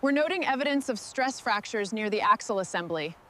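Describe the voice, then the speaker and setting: A woman leading a restoration crew, giving brisk, clipped instructions. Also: on the high side, animated, pushed and bright, a little hiss or hum. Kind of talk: scripted work commands.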